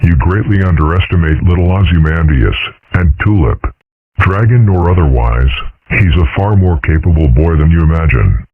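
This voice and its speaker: A man voicing a dignified, stern father in a fan comic dub, speaking formally with a deep, husky voice. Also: animated, no echo. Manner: measured, formal reproach. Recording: phone-like thin sound.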